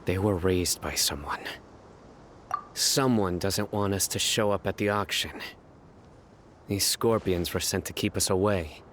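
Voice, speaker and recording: A stern desert warrior, very low voice, terse and serious, faint ambience behind. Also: male voice, unhurried, long pauses, a bit bright, a little hiss or hum. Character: stern young male desert warrior, calm and watchful. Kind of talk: game voice acting.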